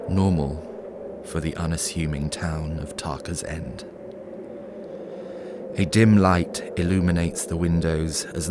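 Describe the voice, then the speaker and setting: A man narrating a scripted horror story in a measured, atmospheric way. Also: sits low, noisy background.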